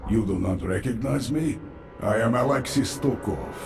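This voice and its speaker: An actor playing a grim, vengeful infested man who speaks in a measured, grave way. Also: medium pitch, creaky, lightly acted. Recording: echoey, over loud music.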